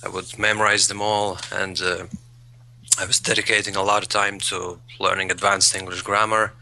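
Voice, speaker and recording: A man's voice: sits low, little pitch movement, moderate pace, vocal fry, bright, forceful tone, poor audio. A man, a guest in an interview, speaking thoughtfully and repeating words as he searches for phrasing. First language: Latvian. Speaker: guest, English learner. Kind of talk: conversational interview.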